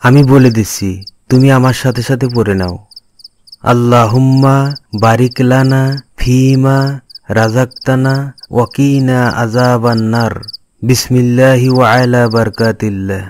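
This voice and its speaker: A man's voice: mid-range voice, steady tone, unhurried, long pauses, noisy background, lightly acted. A man playing a pious, patient father who instructs his young son in an Islamic moral cartoon. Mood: guiding. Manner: instructive, admonishing.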